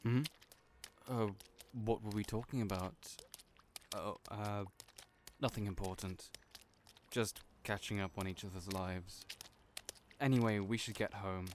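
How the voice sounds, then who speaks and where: Hesitant, soft-spoken man, slightly low voice, stammers, audio roleplay lead. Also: fairly even intonation, measured pace, pauses often, a little hiss or hum. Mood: gentle.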